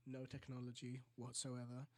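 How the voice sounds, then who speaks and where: A man's voice: monotone, moderate pace, dry recording. A young man in his late teens from Greece with a Greek accent, co-hosting a podcast in casual chat and telling rambling, laughing stories. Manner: rambling, laughing storyteller, voice often drops low and soft. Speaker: podcast co-host.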